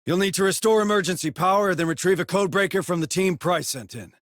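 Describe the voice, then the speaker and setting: Blunt, cynical marine sergeant, highish voice. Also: male voice, fast talker, a bit bright, no room sound, studio quality. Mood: grim briefing. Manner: sardonic, gruff, distrustful. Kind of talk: video game cutscene acting.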